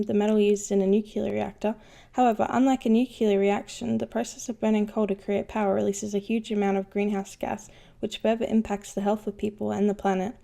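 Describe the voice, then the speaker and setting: A woman's voice: mid-range voice, creaky, dark tone, natural voice. A woman playing a knowledgeable, unflappable ship's engineer who explains things patiently in an educational cartoon. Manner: patient, instructive. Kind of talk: scripted educational explanation in animation.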